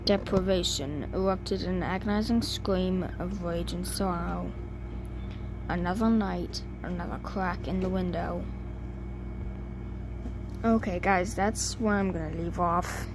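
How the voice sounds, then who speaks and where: A woman's voice: lowish voice, steady tone, measured pace. A young woman reading fan fiction aloud at home, stumbling over words as she goes. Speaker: amateur fan fiction reader. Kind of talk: halting story read-aloud. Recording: noisy home recording with hum.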